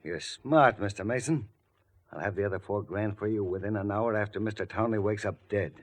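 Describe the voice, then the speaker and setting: A shady gangster from a 1940s radio mystery, acted by a man, speaks in a low voice. He is sly and insinuating, talking in tough slang with quiet menace. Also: a little fast, slight background noise, natural voice. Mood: menacing, persuasive.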